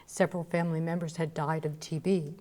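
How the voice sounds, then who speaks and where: A woman's voice: low-pitched, little pitch movement, a little fast, a little mellow. A woman hosting a radio talk show, chatty and eager, telling anecdotes and asking her guest questions. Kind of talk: casual interview chat.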